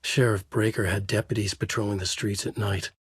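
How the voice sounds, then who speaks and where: An actor playing a brooding, haunted male writer, narrating a noir horror thriller in a measured, grave, dramatic way with a husky voice. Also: lowish voice, flat delivery, no echo. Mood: brooding narration.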